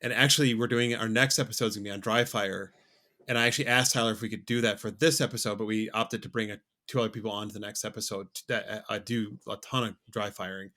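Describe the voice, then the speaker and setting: A young man co-hosting a podcast, talking in a relaxed, rambling way with many fillers. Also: on the low side, quick pace. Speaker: podcast co-host. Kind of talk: casual chat.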